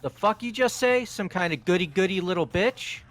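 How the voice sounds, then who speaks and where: A man's voice: high-pitched, lively intonation, normal speed, fairly bright tone, soft background music, pitched above the performer's own voice. A man voicing a hot-headed young delinquent who swears and picks fights. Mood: aggressive. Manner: aggressive, swearing.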